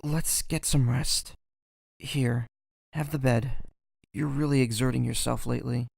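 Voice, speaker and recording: Casting: male actor for soft-hearted young man on the run, fry-laden voice, weary and pleading, fantasy audio drama. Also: medium pitch, steady tone, a little slow, dry recording, studio quality. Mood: gentle.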